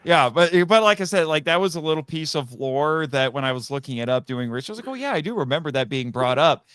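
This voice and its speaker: A man's voice: a little high, expressive, quick pace, fairly bright tone, smooth, no echo, studio quality. A man talking casually as a podcast guest and audio drama writer, chatty and rambling, with frequent asides.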